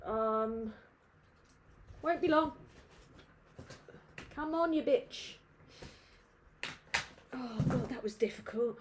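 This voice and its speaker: A British woman with a slightly high voice chats unhurriedly on a livestream from her room at home. Her talk is loose and rambling, full of swearing and "um"s. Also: very slow, long pauses, a little hiss or hum. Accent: British English. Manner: rambling and sweary, with many fillers. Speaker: livestream host. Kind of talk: casual livestream Q&A chat. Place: dim room at home, indoors.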